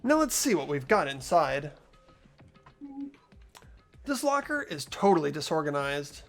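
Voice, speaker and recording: A person reading visual novel narration and dialogue expressively, voicing an earnest, anxious high school student. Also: sing-song, unhurried, faint music behind. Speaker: player reading a video game's lead role aloud.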